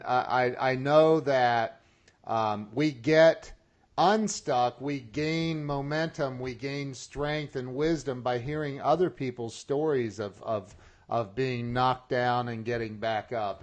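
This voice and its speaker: A man hosting a podcast, talking casually with many restarts and chuckling at his own jokes. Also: medium pitch. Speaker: podcast host. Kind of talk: casual interview chat.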